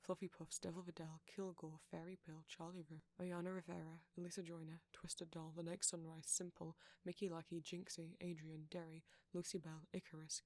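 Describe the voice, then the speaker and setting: A man softly reading out a long list of supporter names as an audio roleplay creator, sometimes dropping into a whisper. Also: a little high, little pitch movement, moderate pace, few pauses, dry recording. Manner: soft-spoken, slipping into whispers at times.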